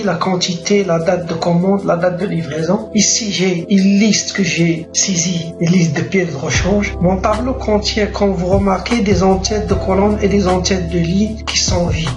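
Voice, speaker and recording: A man's voice: high voice, highs cut off. Male tutorial narrator, methodical step-by-step delivery, roomy echo, music bed. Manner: steady step-by-step dictation of clicks and formulas. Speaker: software tutor. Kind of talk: screen-recorded tutorial narration. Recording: echoey room with music behind.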